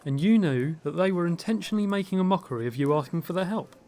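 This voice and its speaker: A polite, cautious adventurer, played by a man in a fantasy audio drama. The voice sits fairly high, and he speaks courteously and a bit tentatively. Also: lively intonation, fast, dark tone. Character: polite, cautious male adventurer interviewing recruits.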